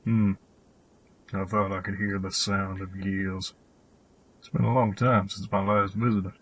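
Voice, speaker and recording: A man playing an old, knowing hermit in a radio play, speaking in an unhurried, musing way with a husky voice. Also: deep voice, flat delivery, exaggerated voice. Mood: musing, matter-of-fact. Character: old hermit fossicker, knowing, quietly amused gear fanatic.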